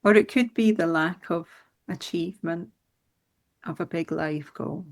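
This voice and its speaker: A woman leading a guided meditation in a soothing, unhurried manner with gentle instructions. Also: on the low side.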